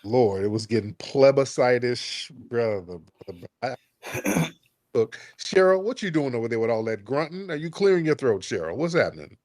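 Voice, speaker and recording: A man hosts a call-in radio show, his pitch swinging widely as he jokes with callers. The sound is dry and close to the mic. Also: moderate pace, no room sound. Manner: animated, playful.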